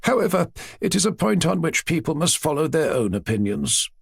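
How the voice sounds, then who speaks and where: A man reading aloud, voicing a cool, sharp-tongued old grandmother who stresses her words pointedly. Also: fairly high pitch, big pitch swings, fairly quick, vocal fry, slightly rough, no room sound, studio quality, pitched above the performer's own voice, full character voice.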